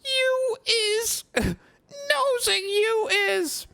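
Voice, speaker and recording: A man voicing a squeaky, tearful drunk elf woman. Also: extremely slow, creaky, bright, forceful tone, exaggerated voice. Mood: mutinous. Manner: squeaky, slurred, tearful, broken grammar. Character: drunk tearful female house elf, loyal.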